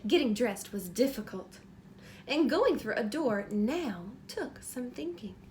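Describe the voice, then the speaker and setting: Female, very high voice, children's read-aloud teacher, simple prompting questions, some background noise. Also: sing-song, a little slow, a little mellow. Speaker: teacher reading a picture book aloud to children.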